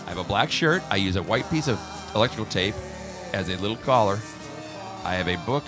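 A man co-hosting a talk show, bantering and telling jokes in a loose, animated way. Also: on the low side, a little fast, over music. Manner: joking, animated storyteller. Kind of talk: casual banter.

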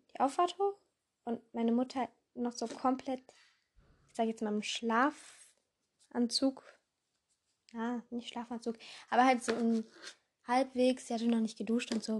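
A young woman with a hoarse voice hosting a casual podcast in a rambling way, full of fillers and self-corrections, jumping between voices. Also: on the high side, fairly even intonation, a little slow, long pauses. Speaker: podcast host.

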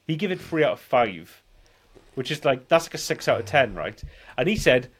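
A man's voice: mid-range voice, sing-song, normal speed, fairly bright tone, husky. A man in his forties rambling through casual film banter as a podcast co-host. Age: 40s.

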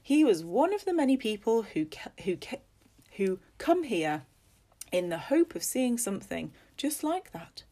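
A woman's voice: on the high side, sing-song, a little slow, mellow, voice pushed up, heavily acted. The raised, emphatic voice of a lady guide leading a ghost tour through an old hall, played by a woman. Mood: relishing. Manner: theatrical, emphatic. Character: female ghost-tour guide, theatrical teller of hauntings.

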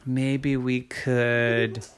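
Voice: mid-range voice, flat delivery, very slow, a crowd in the background. A storyteller voicing a bemused, dithering father who muses hesitantly and repeats himself. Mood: pondering. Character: bemused, dithering dad, slow to find ideas.

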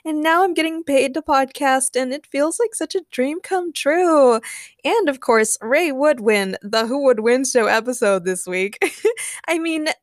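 A woman's voice: high-pitched, animated, a little slow, no room sound, clean studio sound. A young woman hosting a solo podcast in an informal, giggly, gushing way, chatting and narrating fan fiction. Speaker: podcast host.

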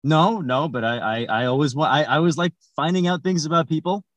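A man hosting a comic podcast as the polite, halting straight man. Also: a little high, a little hiss or hum. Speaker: podcast host. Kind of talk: comic interview in an audio play.

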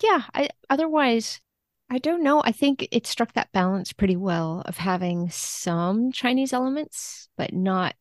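A woman's voice: lowish voice, vocal fry, no room sound, studio quality. A woman speaking as a podcast guest in a casual interview, enthusiastic and informal about her work as a literary translator.

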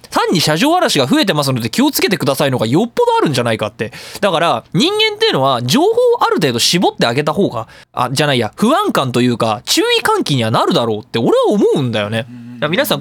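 A male radio host talks quickly in a high voice for a man, riffing casually and theatrically. The recording is dry and close, with his animated emphasis carrying the monologue. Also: no room sound.

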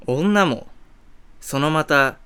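A man's voice: on the high side, lively intonation, drawn-out words, bright, forceful tone, smooth, heavily acted. A man playing an arrogant, flashy rich playboy in a comedy radio drama, with a pompous, showy drawl. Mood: smug.

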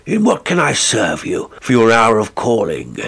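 A man reading the part of an impatient country squire who gives brisk, curt orders. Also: fairly low pitch, huge pitch swings, gravelly, a bit bright, slightly rough, exaggerated voice. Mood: courteous.

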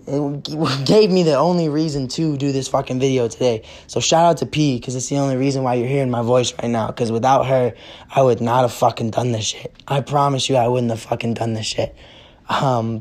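A hoarse young man, hosting a casual sports podcast and ranting bluntly with lots of swearing while losing his voice. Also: mid-range voice, darkish tone. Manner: profane, blunt, fired up.

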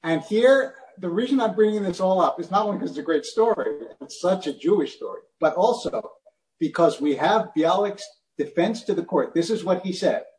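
A man's voice: sits high, lively intonation, normal speed, darkish tone, reverberant, poor audio. A man giving a conversational sermon with chuckling asides, telling a story.

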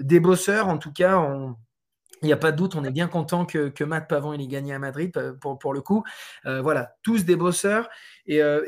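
Higher-voiced man explaining, hesitant. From France. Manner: hesitant, many fillers and restarts. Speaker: Trackman representative on golf tours. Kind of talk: conversational interview answers.

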